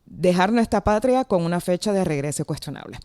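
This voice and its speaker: A woman's voice: on the low side, expressive, fairly quick, dark tone, no echo, studio quality. Puerto rican woman hosting a chat. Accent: Puerto Rican Spanish. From Puerto Rico. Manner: friendly, chatty, laughs easily. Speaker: podcast host. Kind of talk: casual interview chat. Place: at home at night.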